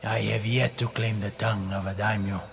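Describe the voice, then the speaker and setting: A man's voice: on the low side, level tone, normal speed, vocal fry, big-room sound, noisy background. An actor playing a brutal, impatient henchman in a video game, with a blunt, sneering delivery. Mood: bloodthirsty. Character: brutal, impatient male henchman, bloodthirsty and sardonic. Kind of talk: video game cutscene acting.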